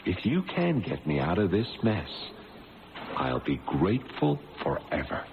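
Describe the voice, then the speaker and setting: A man's voice: low voice, huge pitch swings, unhurried, vocal fry, full character voice. A man playing an ingratiating petty con man in an old-time radio drama, pleading and stammering out promises. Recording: tinny, noisy old radio broadcast.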